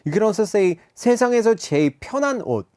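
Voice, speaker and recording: A man's voice: sits high, no room sound, clean studio sound. A man hosting a casual radio show and teaching expressions, laughing often. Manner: fast, animated, switching between English and Korean, laughs often. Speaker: radio DJ and Korean language teacher. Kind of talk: casual radio chat with language lesson.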